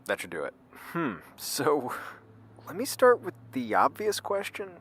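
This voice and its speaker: A man's voice: a little high, huge pitch swings, a little slow, a bit bright, slight background noise, heavily acted. An actor playing an easygoing, wry man in informal, slightly hesitant audio drama conversation. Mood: casual, wry. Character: easygoing, slacker-ish man meeting his identical double.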